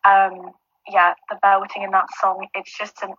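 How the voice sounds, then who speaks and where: A woman who is a finalist guest with a low alto voice, chatting confidently. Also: monotone, unhurried, fairly bright tone, muffled recording. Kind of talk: casual chat.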